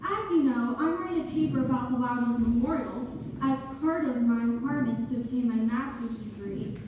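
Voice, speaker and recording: A woman's voice: a little high, a little fast, darkish tone, lightly acted. An actress playing a polite, curious woman graduate student conducting an interview in a staged read-through. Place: echoey hall, community theater performance. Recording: echoey, distant microphone, faint noise.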